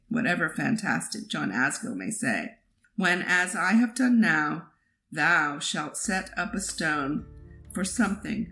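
A woman reads poetry aloud expressively, with measured, metrical phrasing, as a poet would. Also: fairly low pitch, a bit bright, low-quality recording. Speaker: poet reading a poem aloud. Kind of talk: expressive poetry reading with brief asides.

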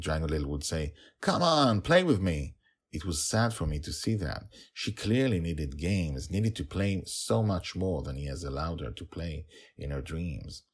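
A man hosting and narrating a story podcast, moving between conversational talk and scripted reading with deliberate, drawn-out announcements. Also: a bass voice, slightly rough. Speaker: podcast host and author-narrator.

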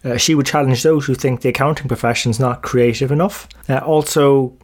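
Man hosting a podcast, mid-pitched, upbeat and conversational. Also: fairly even intonation, fairly quick. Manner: enthusiastic, conversational. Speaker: podcast host. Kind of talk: podcast intro and interviewing.

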